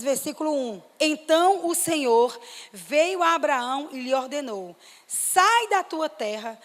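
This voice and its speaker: A woman from Fortaleza, preaching a sermon in a church and building to emphatic, excited exclamations. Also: high voice. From Brazil, Fortaleza. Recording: reverberant church hall.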